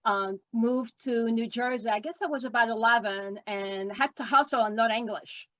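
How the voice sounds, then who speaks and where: Woman in her sixties with a French Canadian accent, fairly high voice, dry lo-fi call audio, chatty and rambling. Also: moderate pace, fairly bright tone, no echo. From Quebec, Canada. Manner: chatty, rambling, with frequent ums. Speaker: guest artist. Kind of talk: casual interview chat. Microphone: video call. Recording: dull lo-fi video call audio.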